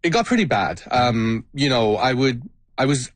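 A man's voice: medium pitch, expressive, moderate pace, no room sound. A man in his thirties from Canada, a guest answering in a studio interview, earnest and open, often searching for his words. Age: 30s. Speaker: guest performer. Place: radio studio. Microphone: studio mic.

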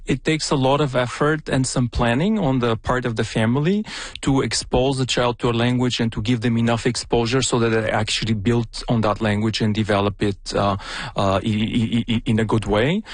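A man's voice: medium pitch, fairly even intonation, fairly quick, dry recording. A middle-aged man whose first language is Bulgarian speaks earnestly into a studio microphone. He explains with occasional stammering restarts, and the sound is dry and close. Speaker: professor and guest. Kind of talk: radio interview. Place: radio studio.

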